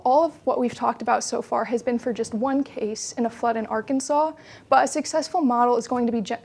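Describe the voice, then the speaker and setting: Young female student presenter, highish pitch, strong fry, clear-cut explanatory delivery. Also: a little mellow. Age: young adult.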